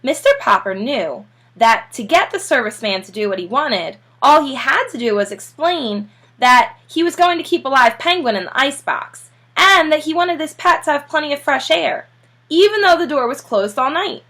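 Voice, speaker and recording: A woman narrating a children's storybook aloud like a teacher, with expressive delivery. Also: high-pitched, normal speed.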